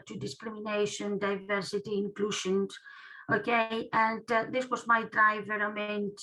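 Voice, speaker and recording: A woman from Greece with a Greek accent, an academic presenting online in a halting way, often tagging phrases with "okay". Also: mid-range voice, bright, forceful tone, poor audio. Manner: halting delivery, often punctuated with "okay" as a tag. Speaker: academic researcher, guest speaker.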